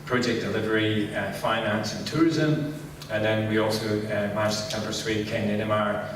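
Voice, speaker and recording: A middle-aged man from Scotland with a Scottish accent, giving a relaxed conference talk and saying "kind of" constantly. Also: lowish voice, noisy background. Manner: relaxed, filler-heavy, says "kind of" constantly. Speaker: conference speaker. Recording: echoey hall, distant mic.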